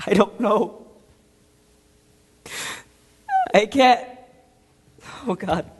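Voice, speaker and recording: In a long hall reverb, a man acts a guilt-ridden, haunted marine veteran confessing on stage. His delivery is halting and intense, moving between hushed and explosive, in a high-set male voice. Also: background noise, natural voice. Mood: anguished, defensive. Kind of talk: theatrical stage monologue. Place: large echoing room, performing to an audience.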